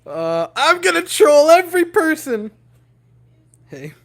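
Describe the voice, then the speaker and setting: Hoarse young man chatting to his stream. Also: very high voice, sing-song, takes it slowly, fairly bright tone. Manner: giddy, rambling, talking to chat. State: hoarse, voice worn out. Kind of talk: casual livestream chat.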